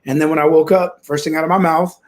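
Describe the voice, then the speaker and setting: A man's voice: fairly high pitch, fairly even intonation, fast talker. A man in his forties from Kansas, telling his life story as an interview guest on a video call from home, upbeat and optimistic with frequent restarts. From Kansas, USA. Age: 40s. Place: home room.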